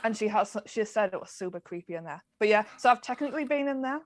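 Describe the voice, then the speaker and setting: A woman's voice: medium pitch, animated, fast talker, no echo. A woman casually sharing a personal anecdote as a podcast panellist.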